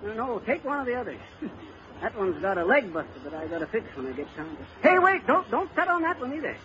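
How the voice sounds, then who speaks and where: Give this to a bumbling, bragging husband from a vintage radio comedy. His pitch sits high and leaps about animatedly as he spins folksy yarns in mangled grammar. The old recording is muffled. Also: very animated, brisk, background noise. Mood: chuckling, folksy. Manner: folksy, bungling, chuckling braggart. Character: folksy blustering husband telling tall tales, grammar-mangling bluffer.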